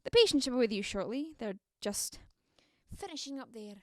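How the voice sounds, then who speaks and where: Woman acting a polite, brisk hospital receptionist. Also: a little high, very animated, dry recording, clean studio sound. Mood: polite, businesslike. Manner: polite, matter-of-fact. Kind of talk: radio drama acting.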